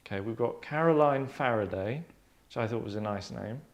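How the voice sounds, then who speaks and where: Guy hosting a casual chat, kinda deadpan and rambly, normal pitch. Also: brisk. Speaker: host and narrator of a table-read show. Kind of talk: casual group discussion. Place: small furnished lounge, indoors.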